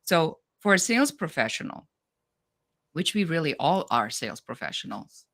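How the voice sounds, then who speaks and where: A middle-aged woman with a German accent talks business in a blunt, straight-up manner. Her voice sits low for a woman. She speaks with lively conviction as an interview guest. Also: moderate pace, creaky. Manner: direct, no-nonsense, animated. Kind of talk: podcast interview.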